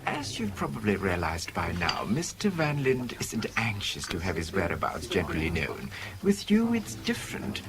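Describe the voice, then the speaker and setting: An actor playing a courteous, secretive Hong Kong Chinese go-between in a 1970s radio drama, polite and guarded. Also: male voice, sits low, wildly swooping pitch, moderate pace, heavily acted. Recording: chatter behind, old radio recording.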